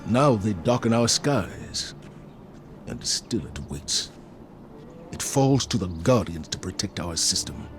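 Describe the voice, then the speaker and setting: An actor playing a solemn, resolute military commander in a video game, speaking in a grave, measured, rousing way. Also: male voice, lowish voice, sing-song, heavily acted. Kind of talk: video game character acting. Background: music behind the voice.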